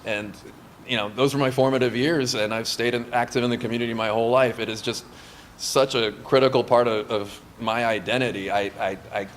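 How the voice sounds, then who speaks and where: A man's voice: mid-range voice, steady tone, brisk, a little hiss or hum. A man from Southern California whose first language is Lithuanian, answering interview questions about a scout camp in an eager, casual way. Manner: eager, with restarts and light laughs.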